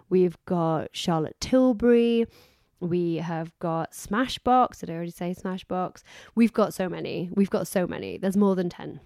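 A woman's voice: fairly low pitch, mellow, dry recording, studio quality. A woman co-hosting a podcast in casual, effusive, rambling chat while she is losing her voice. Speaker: podcast co-host.

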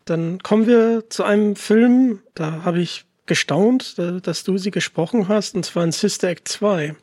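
A man hosting a podcast, asking interview questions in a calm, appreciative, slightly hesitant way. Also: high voice, measured pace, no room sound, clean studio sound. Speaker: podcast host.

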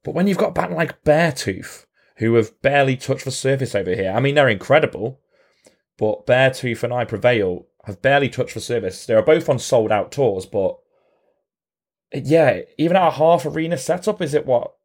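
A man's voice: medium pitch, lively intonation, moderate pace. A man in a casual podcast chat, explaining things in detail with a dry sense of humour.

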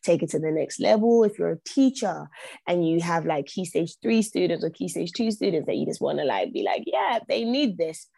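A woman's voice: fairly low pitch, expressive, normal speed, creaky, dark tone, breathy edge, no echo. A young woman, a podcast guest whose first language is not English, speaking earnestly and repeating phrases for emphasis. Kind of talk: reflective podcast conversation.

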